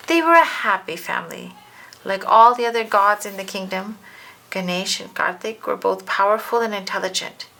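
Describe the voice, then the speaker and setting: A woman narrating a children's picture book aloud, expressively and with deliberate emphasis. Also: mid-range voice, gravelly, sharp tone, rough recording. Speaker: storybook narrator. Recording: faint background noise.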